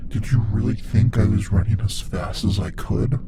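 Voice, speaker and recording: A man voicing a playful, sadistic monster who taunts his prey in an ASMR roleplay, often slipping into breathy whispers. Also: on the low side, animated, normal speed, heavy background noise, close to an everyday voice. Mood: gloating.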